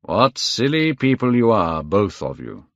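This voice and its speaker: A man's voice: on the low side, big pitch swings, measured pace, a bit bright, dry recording, muffled recording, full character voice. A man voicing a suave, amused, self-assured lord in a cool, languid storybook reading. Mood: breezy.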